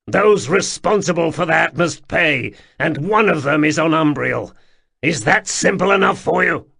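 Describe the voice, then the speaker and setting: A scornful, hardened warrior with a rough, gravelly grain to his voice, speaking slowly and snapping out his words, as a male narrator plays him. His lines rise and fall widely rather than sitting flat. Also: mid-range voice, exaggerated voice. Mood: bitter. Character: bitter, hardened older warrior man, curt and scornful.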